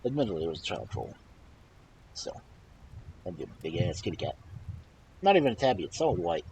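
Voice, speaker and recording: A lowish-voiced man reads folktales aloud with a lively, swooping delivery. He leans on key words, leaves dramatic pauses and slips into casual asides, over clear background noise. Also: low-quality recording. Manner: animated, dramatic pauses and emphasis. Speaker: storyteller and host of a live reading stream.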